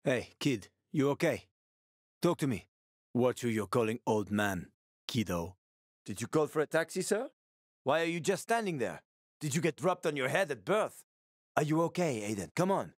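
Wisecracking survivor dude for a game, medium pitch, sweary and teasing, no room sound. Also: male voice, big pitch swings, normal speed, no echo, clean studio sound. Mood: wry, friendly. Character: roguish, wisecracking male survivor guiding a younger newcomer. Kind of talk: video game voice acting.

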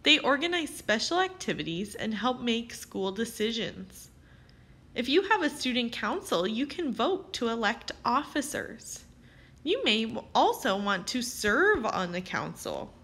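Slow, lively woman reading to children. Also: on the high side, breathy edge. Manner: expressive, carefully phrased, addressing young children. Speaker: teacher reading aloud. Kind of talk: children's read-aloud narration.

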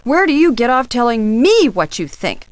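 Snippy, dramatic soap-world woman, swooping pitch. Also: very animated, normal speed, no room sound. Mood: imperious. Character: sharp-tongued, dramatic woman in soap studio intrigue. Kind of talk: acted video game dialogue.

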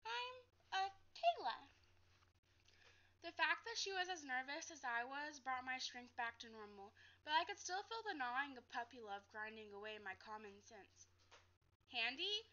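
A woman's voice: sits high, expressive, moderate pace, few pauses, bright, forceful tone. A young adult woman reading a storybook aloud like a teacher, carefully, with some stumbles and repeats. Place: living room, seated on a couch. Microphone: webcam at a short distance. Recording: dull lo-fi webcam audio.

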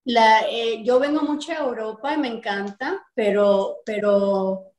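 A woman's voice: a little high, a little slow, a little mellow. Middle aged cuban american woman from miami chatting in an interview, video call, roomy echo. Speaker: interview guest, artist and activist. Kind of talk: conversational interview answers. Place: bright indoor room, on a video call. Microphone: video call, sitting back from the camera. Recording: echoey room over video call.

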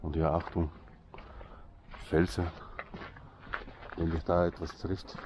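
A man's voice: deep voice, flat delivery, slow, noisy background. A Swiss man whose first language is Swiss German, speaking with a Swiss accent, chatting and joking to his viewers outdoors by a lake. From Switzerland. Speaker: vlogger. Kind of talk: casual vlog commentary to viewers.

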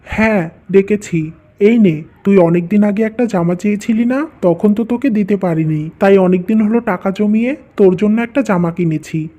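An actor plays a humble young man in a cartoon family tale. He is a dutiful husband and brother with a mellow, soft-toned voice and a gentle manner. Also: sits high, normal speed, close to an everyday voice. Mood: caring. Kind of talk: Bengali cartoon story voice acting.

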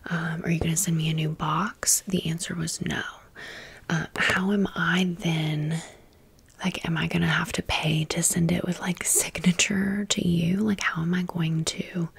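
A woman's voice: lowish voice, little pitch movement, unhurried, gravelly, husky. A woman hosting an ASMR storytime, rambling casually and conversationally with wry asides. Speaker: ASMR video host.

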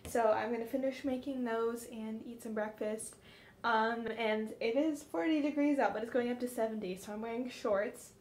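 A young woman chatting upbeat to a phone camera held at arm's length, with many fillers, indoors at home and outside at a beach. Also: fairly high pitch. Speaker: vlogger. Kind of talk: casual vlog chat. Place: home bathroom and kitchen, sunny beach outdoors.

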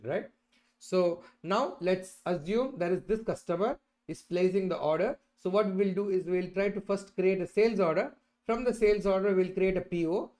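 Higher-pitched man teaching a software tutorial. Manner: checks in often with "right?" and "okay". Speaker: instructor presenting a software tutorial. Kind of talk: explanatory screen-share tutorial. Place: indoors, at a computer.

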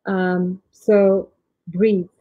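A soft-spoken woman in her thirties from India gives a reflective leadership talk from home over a video call, with frequent ums and restarts. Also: lowish voice, little pitch movement, very slow, no echo. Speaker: tech leader giving a conference talk. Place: home room, remote talk. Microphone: laptop or webcam mic, video call. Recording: tinny, husky dry video-call audio.

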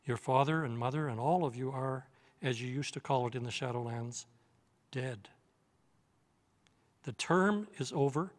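An older man voicing a great noble lion in a read-aloud storybook, speaking slowly, softly and solemnly. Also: medium pitch, long reverb tail, lightly acted. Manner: slow, soft and solemn.

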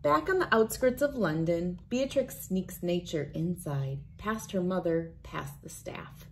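A woman in her thirties reading a children's picture book aloud in a deliberate, expressive storytelling manner. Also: fairly low pitch, vocal fry, a little hiss or hum. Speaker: read-aloud host. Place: indoors, wood-panelled room with large windows.

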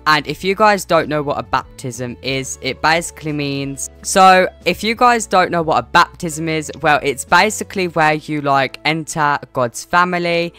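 A woman's voice: low voice, normal speed, few pauses, a bit bright, faint music behind. A woman casually narrating a roleplay game video as its host. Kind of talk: casual scripted roleplay narration over gameplay.

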